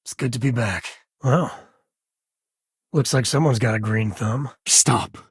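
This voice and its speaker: A middle-aged space cowboy and protective father for a video game. His voice is heavily husky and sits at an ordinary male pitch. He talks in a folksy, wry, informal way, with a dry studio sound and no room around it. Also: unhurried, no room sound. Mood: casual. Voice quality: husky, raspy. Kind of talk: video game character voice lines.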